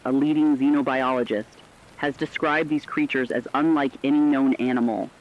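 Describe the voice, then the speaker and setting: A man playing a grave radio news anchor, delivering scripted reports in a serious, measured and formal way. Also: mid-range voice, muffled recording, close to an everyday voice. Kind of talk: scripted breaking news narration.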